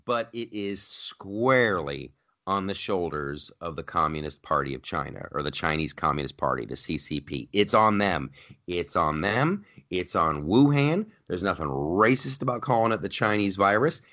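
Man, podcast co-host, low voice, swinging pitch, animated, repeats phrases. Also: moderate pace. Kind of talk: casual political chat.

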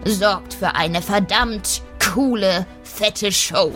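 A woman playing a dwarves' aunt in an improvised fantasy audio drama, speaking as a calm, instructive guide who knows more than she says. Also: medium pitch, extremely slow. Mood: measured, knowing. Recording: background music under voice.

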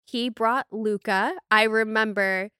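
A young American woman hosting a podcast, chatting and telling personal stories in an informal, animated way. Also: a little high, slow pace, clean tone, dry recording, clean studio sound. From United States. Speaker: podcast host. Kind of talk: casual chat and personal storytelling.

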